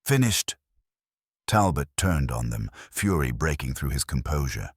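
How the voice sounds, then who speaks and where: A man's voice: a bass voice, expressive, normal speed, breathy edge, no room sound, studio quality. A man narrating a scripted first-person detective story in a dramatic style.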